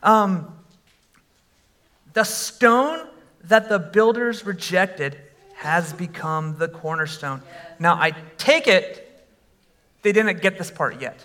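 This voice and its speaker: A man preaching a sermon to a congregation, conversational with fillers, and breaking into animated shouts when he exclaims. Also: sits high, takes it slowly, long pauses. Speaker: pastor.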